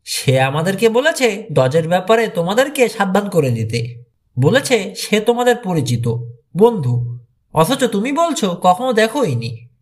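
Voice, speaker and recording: A man's voice: high voice, very animated, a little slow, full character voice. A man voicing a thoughtful, inquisitive teenage boy detective who speaks in a probing way in an audiobook. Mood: puzzled.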